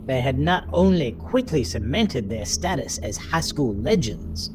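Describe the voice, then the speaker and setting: Casting: male narrator for horror audio drama, deliberate and unhurried, animated intonation, clear music bed behind him. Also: mid-range voice, rough recording.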